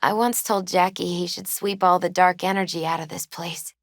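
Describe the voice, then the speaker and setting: A gentle young woman in her twenties, played by an actress, speaking as a grieving video game character. Her voice sits fairly low and comes through dry, with no room around it. She is subdued and wistful, hesitating as she talks in a natural, unforced way. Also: fairly even intonation, moderate pace, no echo, close to an everyday voice. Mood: fond, reminiscing. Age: 20s.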